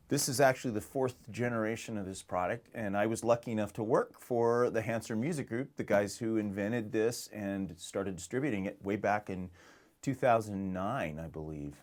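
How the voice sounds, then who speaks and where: A middle-aged American man giving a casual, unscripted product demonstration in a chatty, rambling, conversational way. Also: on the low side. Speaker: music store product presenter.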